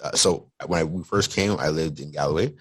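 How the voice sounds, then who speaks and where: Deep-voiced man from Nigeria, Yoruba native. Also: a little fast, slightly rough, no echo. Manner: animated, repeats words, rising excitement on sports talk. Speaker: podcast guest, life insurance agent. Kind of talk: casual conversation.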